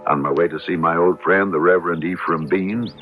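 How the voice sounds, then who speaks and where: Muffled old radio drama: a man acting a wry, weary fifty-ish husband, deep and genial. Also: fairly even intonation, a little fast, over loud music, close to an everyday voice. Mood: wry musing.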